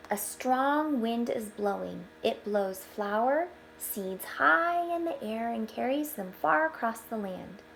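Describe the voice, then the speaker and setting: A woman reading a picture book aloud to children, expressively, pausing for explanatory asides. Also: fairly high pitch, slight background noise, low-quality recording. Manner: expressive, with hushed asides pointing things out.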